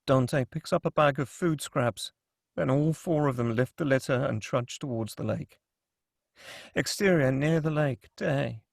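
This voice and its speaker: Male table-read narrator for screenplay directions: slightly high, fry in the voice, very dry recording. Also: measured pace, dry recording.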